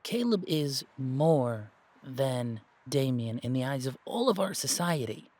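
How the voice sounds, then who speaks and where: A man acting an earnest werewolf pack member in an audio drama, reasoning aloud in an emphatic, halting, explanatory way. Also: mid-range voice, lively intonation, a little mellow, no echo. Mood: realizing, explanatory. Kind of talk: dramatic audio roleplay dialogue.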